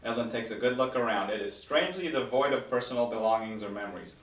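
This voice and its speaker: A man's voice: medium pitch, fast talker. A man reading stage directions as scripted narration at a table read. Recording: roomy, distant mic.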